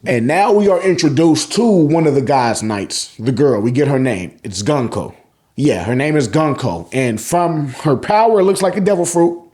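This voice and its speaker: A man's voice: a little high, animated, moderate pace, mellow. An American man hosting a casual, slangy manga review, swearing freely and repeating phrases for emphasis. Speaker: host of a manga review show. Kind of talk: casual, slangy chapter recap commentary.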